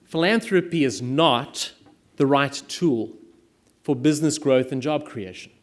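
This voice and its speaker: A middle-aged man from South Africa with a South African accent, an Afrikaans native speaker, giving a prepared keynote speech in a measured, emphatic way with deliberate pauses. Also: medium pitch. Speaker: conference speaker.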